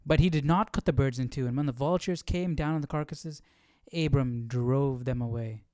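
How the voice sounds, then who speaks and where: A man's voice: mid-range voice, normal speed, darkish tone, no echo, clean studio sound. A man hosting a casual Bible study livestream, reading and thinking aloud in an informal, questioning way. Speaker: livestream host.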